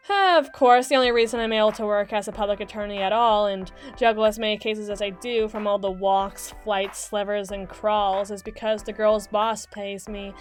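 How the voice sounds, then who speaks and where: Woman acting a sassy, higher-voiced mermaid lawyer. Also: fairly bright tone. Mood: sardonic. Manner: theatrical, wordy, sardonic, talking to herself. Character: brisk, sassy mermaid public attorney, female. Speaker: voice actor in audio roleplay. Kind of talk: scripted ASMR roleplay monologue.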